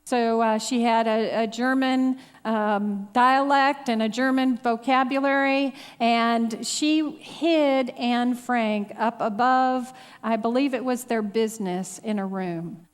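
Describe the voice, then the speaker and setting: Youth group leader lady, slow and reflective, kinda high voice, big hall echo. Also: steady tone, smooth.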